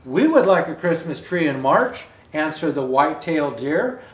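A man's voice: fairly high pitch, very animated, moderate pace, slight background noise. An older man in the role of a Santa storyteller, reading a children's picture book aloud expressively with asides to viewers. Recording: roomy indoor echo.

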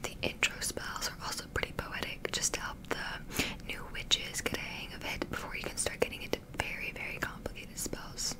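A young woman whispering an ASMR roleplay as a witch teacher, gently encouraging and instructional, close to the microphone. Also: moderate pace, poor audio. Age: young adult. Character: friendly witch teaching spells and potions to a beginner.

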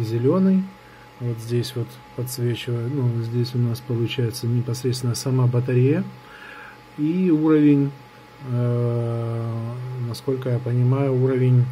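A man's voice: medium pitch, warm tone, slight background noise, rough recording. Chatty man reviewing a gadget. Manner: chatty, rambling, many fillers and restarts. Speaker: product reviewer. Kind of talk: casual unboxing review. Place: indoors at a wooden table.

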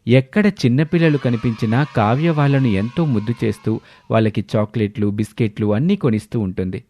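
A man's voice: medium pitch, a little fast, dark tone, slight background noise. A man narrating a scripted story in the manner of a storyteller.

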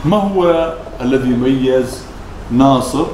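A man's voice: medium pitch, expressive, takes it slowly, dark tone. A man with a Levantine Arabic accent hosting a business interview, polite and effusive with his guest. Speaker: interviewer, show host. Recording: roomy, echoing, clearly noisy background.

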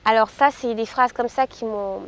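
A woman's voice: on the high side, fairly even intonation, normal speed. A young adult woman from Cameroon, giving a personal testimony outdoors in a park, with a hesitant way of talking and many fillers. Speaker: patient interviewee.

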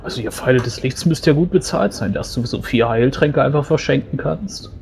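A man's voice: mid-range voice, a little fast, creaky, darkish tone, close to an everyday voice. A man playing a nosy, teasing adventurer in a tabletop game, casual and wry. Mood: teasing, curious. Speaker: player. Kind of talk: in-character tabletop roleplay. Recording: faint background noise.

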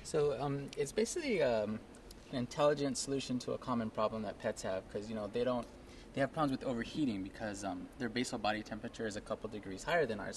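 A man in his thirties explains his invention at a rapid clip, eager and peppering his talk with ums. His pitch is middling, caught on a reporter's handheld mic on an expo floor. Faint hall ambience sits behind him. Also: slight background noise. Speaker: product inventor. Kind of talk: interview answers. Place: large indoor expo hall.

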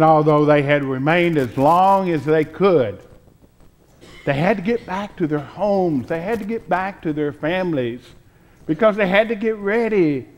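Slow-paced older male preacher. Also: fairly high pitch, a little mellow. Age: 60s. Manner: measured, deliberate phrasing with dramatic pauses. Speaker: pastor. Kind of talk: sermon. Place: church sanctuary, at the pulpit. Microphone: pulpit microphone.

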